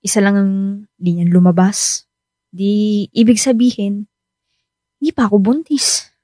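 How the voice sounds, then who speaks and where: An actress playing a wistful, easily discouraged young wife in a Filipino radio drama, emotive and plaintive with a Filipino accent. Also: female voice, medium pitch, fairly even intonation, a little slow, mellow, no room sound, lightly acted. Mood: discouraged, frustrated. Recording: music bed under the voice.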